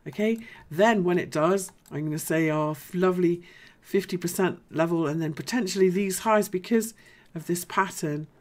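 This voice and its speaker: A woman with a deep voice, hosting a live market analysis show. She talks casually and thinks aloud as she goes. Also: moderate pace, few pauses, a little mellow. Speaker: host.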